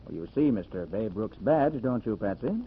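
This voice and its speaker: An actor playing a confident, unflappable master detective in a 1940s radio drama, crisp and patient in manner. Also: male voice, fairly low pitch, lively intonation, moderate pace, natural voice. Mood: calm, assured. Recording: muffled noisy old radio recording.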